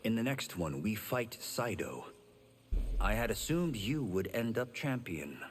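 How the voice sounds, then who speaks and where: An actor playing a courteous, earnest young warrior in a fighting video game. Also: male voice, fairly low pitch, big pitch swings, measured pace. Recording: hall reverb, faint noise.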